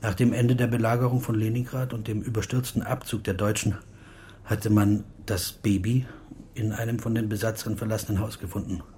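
Radio drama role for a male actor: bitter Russian intellectual, ex-navy, lowish measured voice, grave delivery over faint background noise. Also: flat delivery, a little mellow, lightly acted. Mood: quiet confession. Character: grave, bitter Russian dissident intellectual, former naval officer.

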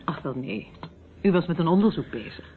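A woman's voice: low voice, expressive, brisk. Radio play role: courteous, uneasy lady of the house, hesitant and discreet, thin sound with faint noise. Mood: uneasy, probing. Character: polite, uneasy society hostess, discreetly probing. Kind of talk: Dutch radio drama acting. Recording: tinny, narrow old radio recording, faint noise.

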